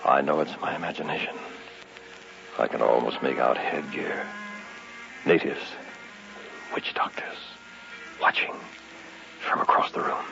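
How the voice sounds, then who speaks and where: A man's voice: rumbling low, a little slow, gravelly, pauses often, pushed and bright, faint music behind, exaggerated voice. An actor playing a paranoid, desperate man trapped alone in an old radio horror drama, going between muttering and panicked cries. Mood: fearful muttering. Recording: muffled, hissy vintage radio recording.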